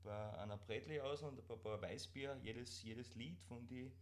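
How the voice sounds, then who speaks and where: A man with an Austrian accent chatting casually as co-host of a live stream, in broad dialect with halting, repeated words. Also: medium pitch.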